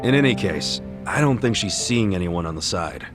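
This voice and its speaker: A man voicing a brash, big-hearted investigator in a video game, blunt and expressive. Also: low voice, normal speed, music in the background. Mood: thoughtful.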